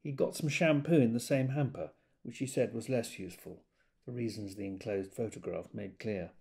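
A man's voice: fairly low pitch, moderate pace, warm tone, natural voice. An actor playing a polite, digressive Quaker man reading out notices in an understated way, in an audio drama monologue. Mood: mild, earnest. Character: gentle, rambling Quaker reading notices, a modest knitter.

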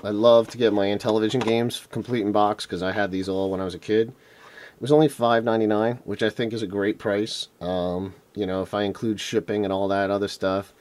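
A man with a lowish voice that is going hoarse, clearing his throat now and then. He rambles in an easygoing, enthusiastic way, full of ums and uhs, like someone showing off his latest finds on camera. He is recorded indoors by a camera mic while his hands work on a table. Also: fairly even intonation, fairly quick, darkish tone. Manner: rambling, filler-heavy, enthusiastic. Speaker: retro game collector vlogger. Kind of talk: casual unboxing vlog. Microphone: camera mic off-screen, hands on table.

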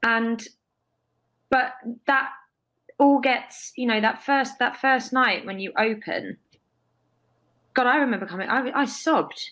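A young woman, a guest telling emphatic, expressive stories over a video call from a bright room. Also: fairly high pitch, slow, pauses often. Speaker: guest, stage performer. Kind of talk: casual interview.

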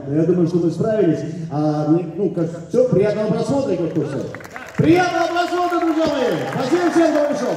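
A man on a cinema stage speaking into a handheld mic, animated as he thanks the audience. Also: sits high, moderate pace, a faint crowd. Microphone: handheld mic, far camera. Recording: echoey hall from far off.

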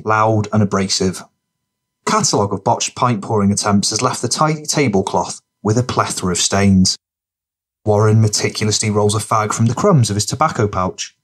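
A man with a fairly low voice narrates fiction. It is scripted audiobook storytelling, read as the narrator. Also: breathy edge.

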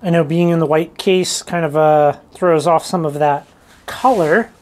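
A woman's voice: low-pitched, unhurried, darkish tone. A woman vlogger giving a casual hands-on demonstration, informally thinking aloud as she goes. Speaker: rockhounding vlogger.

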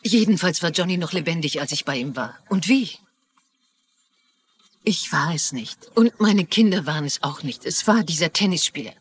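Confiding, wounded ex-wife. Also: female voice, low voice, expressive, normal speed, a little hiss or hum. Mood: defensive, pleading. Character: bitter, worried female ex-wife and mother. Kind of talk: dubbed TV crime drama acting.

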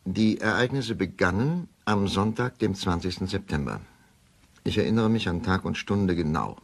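An actor playing a middle-aged doctor who gives a measured, formal account in a radio play. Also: male voice, low voice, lively intonation, gravelly, full character voice. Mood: sober, factual. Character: weary, measured middle-aged male doctor writing his confession. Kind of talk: German radio play monologue, formal report.

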